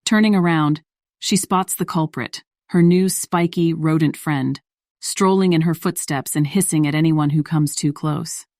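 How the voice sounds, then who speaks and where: Lower-voiced woman narrating a story. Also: a little slow, a little mellow, no room sound, studio quality.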